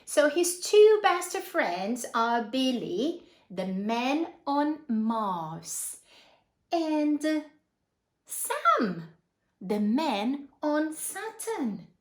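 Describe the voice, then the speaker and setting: A woman's voice: sits high, big pitch swings, very slow, echoey room. A woman reading a picture book aloud to young children, expressively, with asides and questions addressed to her listeners.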